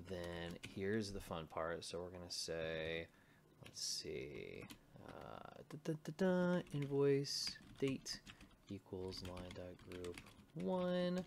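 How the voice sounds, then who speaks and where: A low-pitched man walks through code in an informal screen-share tutorial. He takes it slowly, thinking aloud and muttering as he goes. Keyboard typing, clicks and some background noise sit behind his voice. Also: vocal fry. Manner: mutters to himself while typing. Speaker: programming tutorial presenter.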